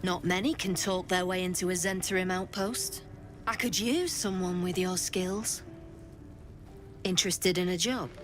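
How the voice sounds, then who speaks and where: An actress playing a blunt, threatening criminal boss, speaking in a businesslike way. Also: female voice, lowish voice, unhurried, faint music behind, lightly acted. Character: tough criminal gang boss woman.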